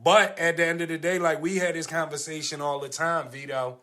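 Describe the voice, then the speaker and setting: A male podcast host speaks in a higher-than-average, sharp and forceful voice. He argues casually and in slang, repeating phrases to press his point. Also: little pitch movement, a little fast. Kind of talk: casual panel discussion.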